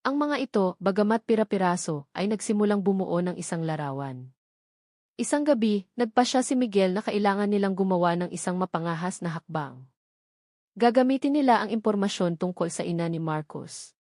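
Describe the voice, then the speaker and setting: A woman's voice: mid-range voice, lively intonation, brisk, a little mellow, no echo, studio quality. A woman with a Filipino accent narrating a scripted audio story.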